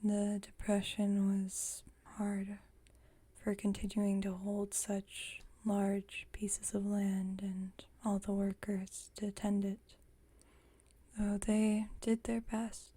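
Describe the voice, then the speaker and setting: Slow, whispering vampire woman, acted by a woman. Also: medium pitch. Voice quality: soft, breathy whisper. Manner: slow, gentle, unhurried. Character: gentle, wry, nostalgic female vampire roommate. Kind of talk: whispered ASMR roleplay.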